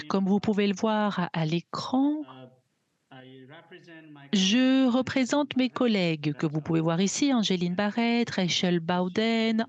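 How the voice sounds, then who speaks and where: A woman's voice: mid-range voice, a little mellow, highs cut off. A woman doing live simultaneous interpretation of a webinar, hesitant, with frequent fillers and self-corrections.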